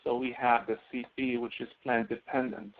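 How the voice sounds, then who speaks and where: Gravelly male instructor, phone-like audio. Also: medium pitch, little pitch movement, normal speed. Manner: asks questions and answers them himself. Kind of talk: spreadsheet tutorial lecture, explaining step by step.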